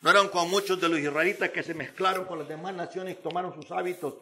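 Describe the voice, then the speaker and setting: High-pitched man preaching emphatically. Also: fairly even intonation, fast talker, pushed and bright. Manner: emphatic, repetitive, rhetorical questions and phrases. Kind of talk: sermon.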